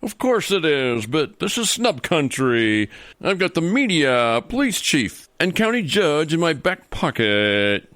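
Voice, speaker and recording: An actor playing a smug, corrupt, middle-aged senator in a comedy radio play, boastful and pompous. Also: male voice, a little high, big pitch swings, a little slow, a bit bright, dry recording. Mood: gloating. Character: smug, corrupt veteran male senator, scheming and self-satisfied. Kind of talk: comedy radio theater acting.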